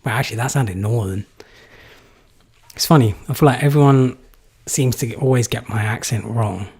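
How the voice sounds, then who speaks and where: A man's voice: mid-range voice, moderate pace, a little mellow, breathy edge. A young man from England answering viewer questions in a live stream, casual and rambling with many fillers. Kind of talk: live Q&A chat.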